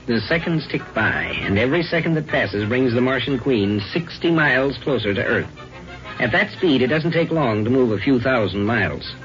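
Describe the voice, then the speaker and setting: A man narrating a 1950s radio drama from a script in a deliberate, dramatic manner. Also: medium pitch, lively intonation, music in the background. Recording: muffled 1950s radio recording.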